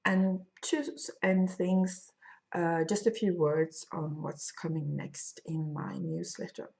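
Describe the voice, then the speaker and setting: A woman in her fifties with a Swedish accent, a crochet designer chatting casually in her video podcast from a home studio, thoughtful with many pauses. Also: deep voice, steady tone, dark tone. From Sweden. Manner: slow, thoughtful, with frequent pauses and fillers. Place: home studio room, indoors. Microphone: fixed camera at desk.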